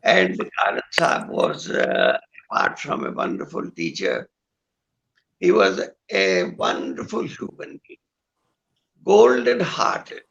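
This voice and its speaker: An older man with a hoarse voice, a guest musician talking in a slow, halting way with many pauses over a video call. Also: fairly low pitch, huge pitch swings, fairly bright tone, dull audio. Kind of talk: recalled conversation with singing.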